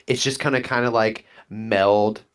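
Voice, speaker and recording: A man speaks as a guest in a relaxed interview, his voice sitting somewhat low. He rambles with enthusiasm and acts out quick back-and-forths as he explains himself. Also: smooth. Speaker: guest, monk class player. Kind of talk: casual interview chat.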